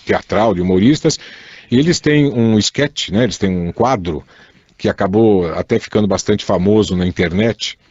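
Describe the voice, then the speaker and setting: Husky Brazilian man co-hosting radio. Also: fairly low pitch, normal speed, a little mellow, no room sound. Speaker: radio co-host. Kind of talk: casual conversational radio talk. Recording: dull lo-fi radio recording.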